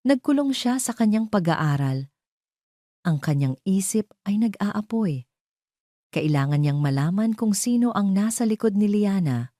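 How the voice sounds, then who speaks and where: A woman's voice: mid-range voice, animated, a little slow, dark tone, no room sound, clean studio sound. A woman narrating a scripted dramatic story as an audio drama narrator.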